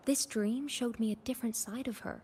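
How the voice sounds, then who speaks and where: A woman's voice: fairly high pitch, quick pace, darkish tone, a little hiss or hum, lightly acted. A woman playing an earnest, wistful young woman who is grieving her grandmother in a video game. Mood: grateful, reflective.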